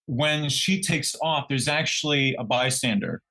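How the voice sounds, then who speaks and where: A man's voice: medium pitch, measured pace, pushed and bright. Male true crime co-host. Conversational storyteller who stumbles, backtracks and corrects himself as he goes.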